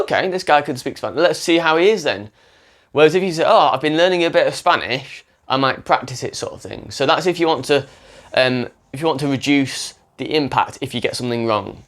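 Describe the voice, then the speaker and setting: A man's voice: mid-range voice, a bit bright. A young man who tutors languages, talking unscripted to camera in a rambling way, often restarting his sentences. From English-speaking country. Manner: rambling, self-correcting, many restarts.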